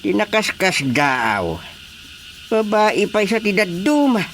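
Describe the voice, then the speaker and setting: Lively-pitched soldier. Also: male voice, slow, a little hiss or hum, full character voice. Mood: earnest, impressed. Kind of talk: radio drama acting.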